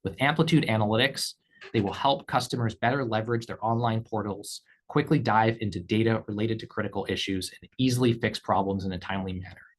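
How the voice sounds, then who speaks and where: A man speaking as a company executive, reading scripted earnings call remarks in a measured, formal way. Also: lowish voice. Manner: measured, formal read delivery.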